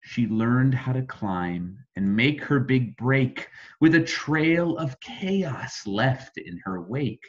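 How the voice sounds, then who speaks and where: An American man reading a rhyming children's bedtime story expressively, with dramatic emphasis and deliberate phrasing. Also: mid-range voice, expressive, lo-fi sound. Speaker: storybook reader.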